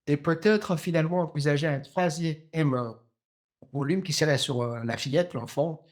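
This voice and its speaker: A man in his fifties from Djibouti, a novelist answering interview questions slowly, with many pauses, fillers and restarts. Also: fairly high pitch. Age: 50s. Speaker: novelist guest.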